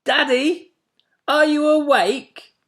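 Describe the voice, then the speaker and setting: A man voicing an excitable young male owlet who talks in a squeaky, insistent way. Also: slow, exaggerated voice. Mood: eager.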